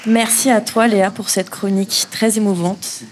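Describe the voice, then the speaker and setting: A woman's voice: mid-range voice, steady tone, moderate pace, some chatter behind, slight background noise. A woman hosting a panel discussion and putting questions to guests. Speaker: host.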